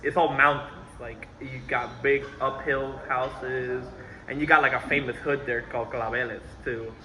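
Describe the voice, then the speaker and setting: Young guy, super bright voice, chill chatty. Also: medium pitch, normal speed, a little hiss or hum, rough recording. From Puerto Rico. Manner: relaxed, chatty, easygoing. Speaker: guest. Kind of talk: casual interview.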